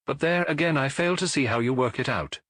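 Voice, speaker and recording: A man voicing a good-natured gentleman doctor in an audiobook, the detective's loyal friend, earnest and incredulous. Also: medium pitch, fairly quick, no echo, pitched above the performer's own voice, close to an everyday voice. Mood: baffled, exasperated.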